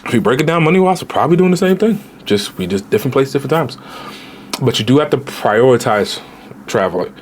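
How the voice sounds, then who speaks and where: A man in his late twenties, a travel vlogger giving casual, animated budget travel advice straight to viewers, with slang. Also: mid-range voice, quick pace, a little hiss or hum. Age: 20s.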